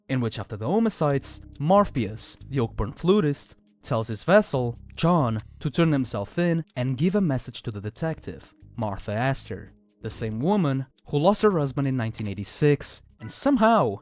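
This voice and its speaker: A man's voice: a little high, a little mellow, dry recording. A man reading a scripted video essay on a horror series, with a dramatic storytelling delivery.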